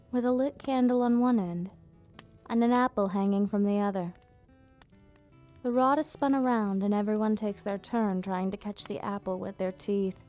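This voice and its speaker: A woman playing a gentle, nostalgic vampire hostess in an ASMR roleplay, speaking softly in slightly formal, unhurried explanations. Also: medium pitch, natural voice. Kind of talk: ASMR roleplay, soft storytelling. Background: background music.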